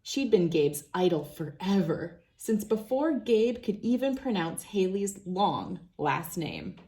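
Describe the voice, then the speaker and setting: Mellow-voiced woman reading a storybook. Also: on the low side, big pitch swings, slow pace. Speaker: children's book reader. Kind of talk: read-aloud storybook narration.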